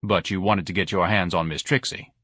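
A man's voice: low-pitched, steady tone, a little fast, pushed and bright, dry recording. A man voicing an irritable, sarcastic businessman husband in an audiobook, dry and dismissive.

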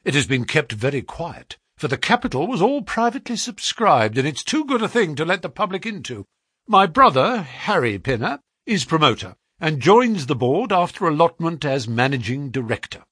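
A man voicing a brisk, sharp-talking middle-aged male financier in a read-aloud story, speaking eagerly and quickly. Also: a little high, very animated, breathy edge, dry recording, clean studio sound, pitched above the performer's own voice, full character voice.

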